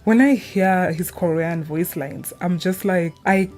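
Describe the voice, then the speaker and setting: A woman talking casually in a rambling, giggly commentary, often halting and cutting herself off mid-thought. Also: on the low side, darkish tone. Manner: chatty, halting, self-interrupting, giggly. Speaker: video creator.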